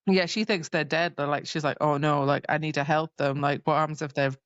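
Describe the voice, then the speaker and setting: A woman's voice: deep voice, steady tone, brisk, clear, resonant tone, no room sound, dull audio. A woman co-hosting a podcast, loosely and chattily retelling a book in casual speech. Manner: chatty, loose retelling with lots of "like" and acted-out quotes. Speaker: podcast co-host. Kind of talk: casual book recap chat.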